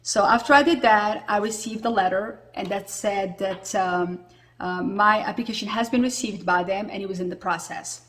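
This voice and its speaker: A woman's voice: medium pitch, normal speed, fairly bright tone. A woman in her forties from Morocco, talking casually to camera as a vlogger, with a lot of filler words. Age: 40s. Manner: chatty, with frequent fillers.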